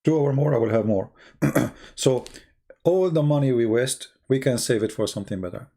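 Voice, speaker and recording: Guy streaming live, english not his first language, soft dark voice w lots of fry, halting casual storytelling. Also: medium pitch.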